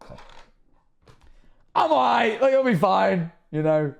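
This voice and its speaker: A young man in his twenties streaming from his bedroom, rambling and trailing off quietly, with a voice that is going rough and hoarse. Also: high-pitched, expressive, slow pace. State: losing his voice, rough throat. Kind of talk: casual reaction stream chat. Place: bedroom streaming setup indoors. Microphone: headset on a streaming setup.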